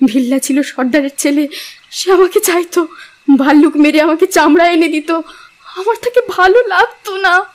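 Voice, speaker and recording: Very high-pitched, frightened jungle girl. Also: normal speed. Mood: mournful chanting. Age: young adult. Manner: anguished, wailing, tearful pleading. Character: frightened, pleading young cave woman of the jungle. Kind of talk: dramatized audio story acting.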